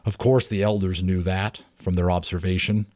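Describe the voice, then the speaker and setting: A man voicing a gentle, shy father who earnestly recalls his childhood in an audiobook. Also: low voice, fairly even intonation, normal speed, no room sound, clean studio sound, close to an everyday voice. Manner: earnest, reminiscing.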